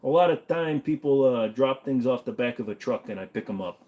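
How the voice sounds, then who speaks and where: A swaggering middle-aged mobster, chummy and full of himself, as a streamer puts him on for laughs. The drawl is thick and exaggerated, pitched in a middle range. He leans on every line like he's letting you in on something. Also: lively intonation, brisk, darkish tone, natural voice. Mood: boastful. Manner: exaggerated street-tough drawl.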